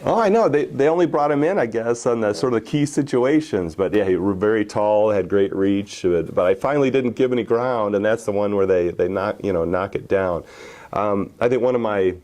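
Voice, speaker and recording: Man, forties, deep low voice, loose chatty recollection, lots of "you know". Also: a little fast. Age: 40s. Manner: relaxed, many fillers and restarts, animated when telling game stories. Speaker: former football player, interview guest. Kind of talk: casual storytelling interview.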